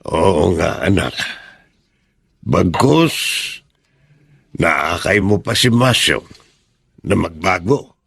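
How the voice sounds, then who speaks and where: Old father, radio drama, husky, slow, gentle, thankful, dry close sound. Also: deep voice, lively intonation, no echo, exaggerated voice. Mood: grateful, tender. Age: older. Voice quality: gravelly, husky. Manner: slow, measured. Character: grateful, gentle, devout elderly father and grandfather. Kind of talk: Filipino radio drama acting.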